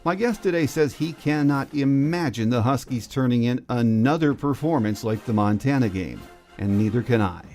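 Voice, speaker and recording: A man's voice: medium pitch, moderate pace, a little mellow, soft background music. An American man talking as the host of a sports podcast. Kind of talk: sports talk, scripted intro and interview.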